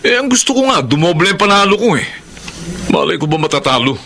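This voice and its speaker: An actor playing a cocky, irritable small-time scammer and gambler husband in a radio drama. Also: male voice, high voice, big pitch swings, fast, creaky, sharp tone, exaggerated voice. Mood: defensive. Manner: boastful, defensive. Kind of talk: Tagalog radio drama acting.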